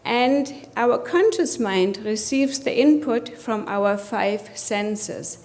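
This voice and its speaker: A woman's voice: fairly high pitch, slow pace, darkish tone. A woman from Germany with a German accent, giving a motivational conference presentation and putting rhetorical questions to the audience. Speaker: conference speaker.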